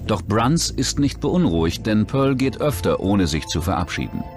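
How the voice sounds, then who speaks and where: A man's voice: fairly low pitch, sing-song, moderate pace. A man reading scripted true-crime documentary narration as the narrator. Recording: music bed behind the voice.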